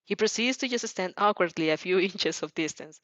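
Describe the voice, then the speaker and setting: A woman reading fan fiction aloud in an expressive amateur style, giggly and stumbling over the text. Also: on the low side, brisk, dry recording.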